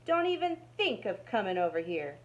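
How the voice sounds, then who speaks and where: A woman's voice: medium pitch, big pitch swings, normal speed, muffled recording, close to an everyday voice. A woman voicing a grumpy, standoffish male rat in a children's storybook, grumbling and curt. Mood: defiant. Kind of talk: children's storybook read-aloud.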